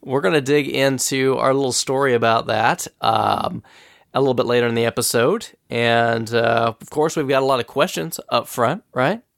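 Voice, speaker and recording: A man's voice: medium pitch, normal speed, gravelly, no echo, clean studio sound. A man hosting a podcast who has a cold and sounds a little nasal and scratchy, chatting casually and laughing often as he tells stories. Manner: chatty storyteller, laughs often, animated retelling. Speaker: podcast host.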